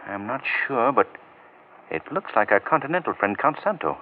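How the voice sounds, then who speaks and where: An actor playing a calm, wry, authoritative gentleman sleuth in a 1940s radio drama. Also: medium pitch, normal speed, natural voice. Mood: wry, assured. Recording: hissy, tinny old radio recording.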